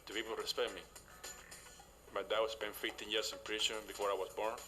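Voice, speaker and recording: Man, Cuban Spanish accent, lowish voice, simple plain sentences, documentary interview, music underneath. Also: flat delivery, a little fast, pushed and bright. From Cuba. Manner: plain, simple sentences with non-native grammar. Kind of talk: personal recollection in an interview. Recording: interview with music bed behind.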